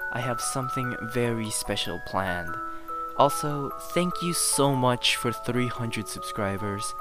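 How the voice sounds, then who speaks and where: A man who voice acts, talking casually to his viewers as host of his channel. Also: medium pitch.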